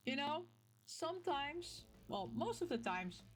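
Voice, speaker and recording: A woman from the Netherlands with a Dutch accent chats casually to her viewers as a gaming vlogger, telling things in an animated, playfully emphatic way with little acted-out quotes. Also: high-pitched, a little slow, a little hiss or hum. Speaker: video game vlogger and host. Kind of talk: casual, chatty talk to camera.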